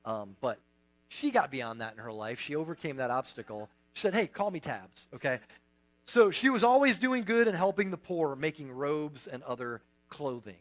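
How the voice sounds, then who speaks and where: Guy preaching, loose and chatty, jokes on himself, kinda higher voice. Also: expressive, moderate pace, poor audio. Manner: conversational, self-deprecating asides, lots of "you know" and "like". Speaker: pastor. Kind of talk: sermon.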